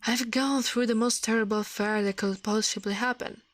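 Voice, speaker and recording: A woman's voice: fairly high pitch, fairly even intonation, moderate pace, no echo, close to an everyday voice. A woman voicing a smug, scheming male shadow in a storybook reading, imperious and falsely reasonable. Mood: feigned sorrow.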